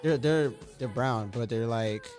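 A man's voice: medium pitch, unhurried, a little mellow. An American man chatting casually with friends on a podcast in a laid-back, slangy way. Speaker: podcast host. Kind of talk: casual banter among friends. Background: music playing in the background.

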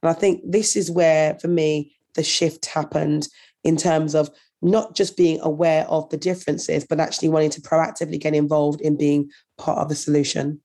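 A woman from Birmingham with a croaky voice from a cold, telling her story earnestly as an interview guest. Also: sits low, flat delivery, moderate pace, dark tone. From Birmingham, England. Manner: earnest, thoughtful, with some repeated words. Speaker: guest, anti-racism consultant.

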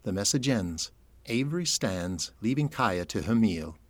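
A man's voice: on the low side, expressive, a little slow, background noise. A man narrating an audio drama from a script, in present-tense storytelling. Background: music.

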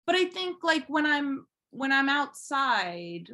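A woman's voice: high-pitched, very animated, slow, bright, forceful tone. A woman speaking casually as an interview guest, chatty and self-deprecating in an informal way. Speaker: podcast guest, fan fiction writer.

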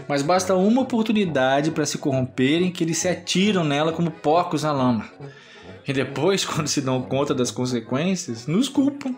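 A man's voice: on the high side, lightly acted. Cynical, sardonic demon, played by a man. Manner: sardonic, speechifying, chuckling. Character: cynical, mocking male demon, disdainful of humans. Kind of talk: audio drama acting.

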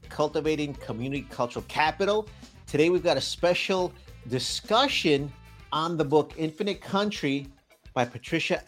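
A man hosting a community radio and podcast show, introducing guests with energetic, emphatic delivery. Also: a little high.

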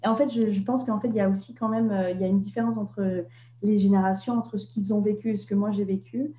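Soft-spoken hesitant woman, echoey room. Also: mid-range voice, fairly even intonation, fast talker. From Vietnam. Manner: hesitant, many fillers and restarts. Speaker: author, interview guest. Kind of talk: reflective interview conversation.

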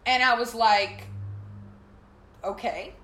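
A young woman with a low, slightly raspy voice, talking loosely as a guest on a podcast. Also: slow pace, a bit bright. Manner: chatty, loose, lots of fillers. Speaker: guest singer and artist. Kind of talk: casual podcast chat. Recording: roomy echo.

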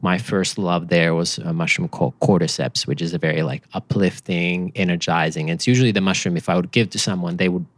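A very low-pitched man with a Finnish accent, talking loosely and easily as a podcast guest. His speech wanders with small restarts and fillers. The sound is dry and close, with no room around it. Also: fairly quick, hardly any pauses, no room sound. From Finland. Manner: relaxed, rambling with fillers and restarts. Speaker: company founder, podcast guest. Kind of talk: casual interview chat.

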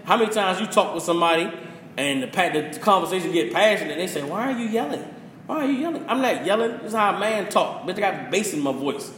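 A man's voice: high voice, expressive, quick pace, a bit bright. Passionate cursing man in an echoey room. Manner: passionate, preachy, profane. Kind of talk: casual opinion rant. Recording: echoey room with faint hiss.

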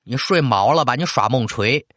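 A man's voice: mid-range voice, expressive, normal speed, fairly bright tone, dry recording, clean studio sound. Man voicing a fussing, bluff old grandpa. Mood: dismissive, fond. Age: older. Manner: grumbling, coaxing, folksy.

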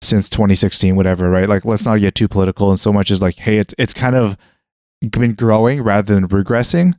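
A young man with a fairly low voice muses aloud in a relaxed conversation. He often restarts sentences and leans on "like" as he gropes for his point. The sound is dry and close to the mic. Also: fairly quick, dry recording. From Western world (Canada). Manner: hesitant, many restarts and "like" fillers, thinking aloud. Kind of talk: casual conversation.

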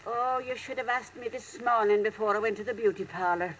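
An actress playing a brassy, homely, self-mocking older landlady with deadpan timing in a 1940s radio comedy. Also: medium pitch, a little fast, natural voice. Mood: cheerful, wry. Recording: noisy old radio recording with clicks.

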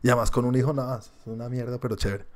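A man's voice: mid-range voice, very fast. A man with a Colombian accent co-hosting a podcast, chatting casually about shows and films, rambling and swearing. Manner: animated, swearing, laughing, rambling. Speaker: podcast co-host.

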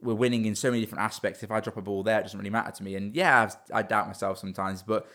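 A man's voice: fairly low pitch, fairly even intonation, very fast, fairly bright tone. A young man in his twenties, a business owner talking earnestly in a casual interview, often restarting and repeating words.

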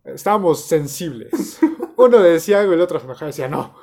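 A man's voice: on the high side, animated, fast, darkish tone. A young man, an architecture student telling stories in an easygoing, casual chat. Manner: easygoing storyteller, laughs often.

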